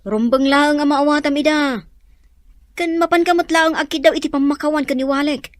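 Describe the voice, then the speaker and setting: Frank, fairly high-voiced friend, played by a woman. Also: normal speed, dry recording, clean studio sound, lightly acted. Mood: reproving. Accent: Filipino. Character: frank, reproving close friend urging an apology. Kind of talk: radio drama acting.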